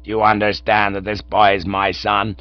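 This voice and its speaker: Man as a gruff old American theatre boss. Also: sits low, animated, moderate pace, no echo, dull audio, pitched below the performer's own voice, heavily acted. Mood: barking fury. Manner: gruff, barking, doting on his son.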